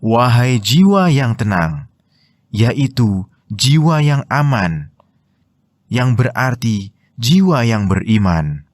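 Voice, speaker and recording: Male Indonesian narrator for religious explainer, mid-pitched, slow and measured delivery. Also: big pitch swings, no echo, studio quality. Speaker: narrator of Quran translation and commentary.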